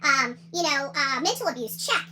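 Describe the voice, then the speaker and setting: A woman's voice: high-pitched, lively intonation, normal speed, sharp tone, a little hiss or hum. A woman hosting a livestream, giving opinionated commentary in a combative, indignant and emphatic way, often repeating phrases for emphasis. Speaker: livestream host.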